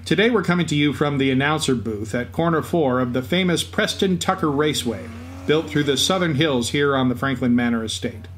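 A man's voice: fairly high pitch, fairly quick. Male co-host, scripted, faint ambient noise. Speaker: podcast co-host. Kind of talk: scripted narration. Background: racetrack event ambience.

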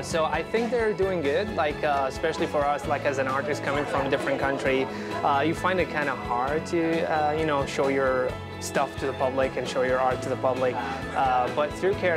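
A young man from Iraq talks casually in an indoor gallery, filling gaps with "uh" and "you know." His voice sits at a middle pitch, picked up on a lapel mic. A music bed plays clearly beneath him. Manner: relaxed, rambling with many fillers. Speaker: artist interviewee. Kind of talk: casual interview.

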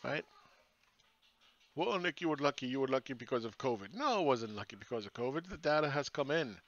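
A man's voice: medium pitch, animated, fairly quick, a bit bright, muffled recording. A man hosting his own channel, ranting sarcastically over charts and firing rhetorical questions at critics.

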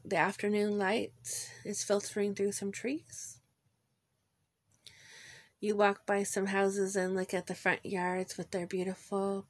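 A woman leading a guided visualization in a gentle, informal way, pausing between short phrases. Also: medium pitch. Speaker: guided meditation leader. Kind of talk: guided meditation, visualization narration.

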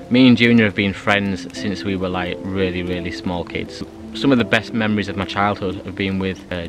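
A man in his thirties with a British accent, chatting casually to the camera outdoors in a forest, rambling with fillers and restarts. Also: deep voice. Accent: British English. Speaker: bushcraft vlogger. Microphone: handheld camera at arm's length. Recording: background music behind the voice.

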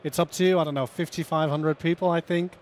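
A man's voice: fairly high pitch, steady tone, brisk. A man in his thirties explaining fluently as an analyst guest in a live conference interview, often tagging "right?". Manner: fluent, explanatory, tag questions. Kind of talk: live trade-show interview. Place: busy conference hall. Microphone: headset mic.

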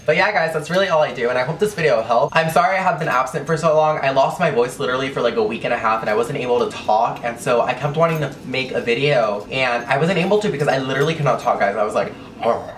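A man's voice: medium pitch, fairly bright tone, recorded from a distance, slight background noise. Chatty young man, echoey bedroom. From Texas. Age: young adult. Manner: chatty, gushing, heavy use of "like". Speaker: beauty vlogger. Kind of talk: casual hair-care tutorial chat. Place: bedroom, indoors.

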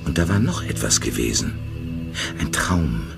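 A man narrating a radio drama in a calm storytelling voice. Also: very deep voice, measured pace, gravelly, music in the background, exaggerated voice. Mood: measured.

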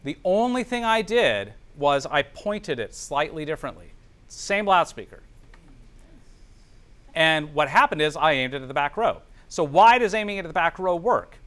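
Higher-voiced man teaching a class. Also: sing-song, normal speed, fairly bright tone. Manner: explanatory, frequently checks understanding with tag questions. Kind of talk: classroom lecture with spreadsheet demonstration. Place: indoor classroom.

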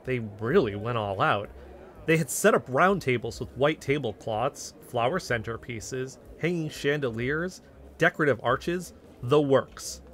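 An actor playing an earnest amateur investigator, narrating events in first person as scripted audio drama. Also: male voice, medium pitch, animated, measured pace, fairly bright tone. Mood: earnest, intrigued.

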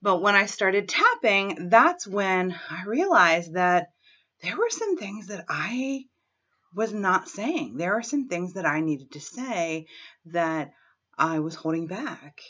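A woman in her thirties, hoarse from a head cold, talking frankly and clearing her throat as she guides a tapping session at home on her laptop webcam. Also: medium pitch, sing-song, slow, fairly bright tone. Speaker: tapping coach. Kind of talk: self-help vlog with guided tapping. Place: small room at home, indoors. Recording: dull lo-fi webcam sound.

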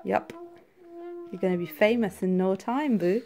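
A woman's voice: lively intonation, measured pace, mellow. A young woman chatting casually to camera as a vlogging mum, recorded on a handheld phone with noise around her. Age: young adult. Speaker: vlogger and mother. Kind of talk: casual vlog chat to camera. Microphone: handheld phone or camera at arm's length. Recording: noisy handheld vlog recording, car rumble.

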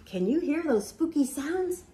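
A woman's voice: sits high, measured pace, echoey room. An older woman reading a picture book aloud to young children, with gasps and whispers, recorded at home in front of a camera. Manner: animated storytelling with gasps and whispers. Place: home room, indoors.

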